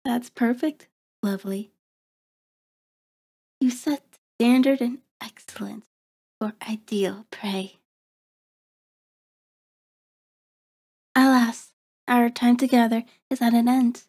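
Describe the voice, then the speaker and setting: A woman playing a seductive, predatory vampire in an ASMR roleplay, soft and breathy with a coaxing, commanding manner, often slipping into a whisper. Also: fairly high pitch. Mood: satisfied, possessive. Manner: slow, deliberate, coaxing, controlling.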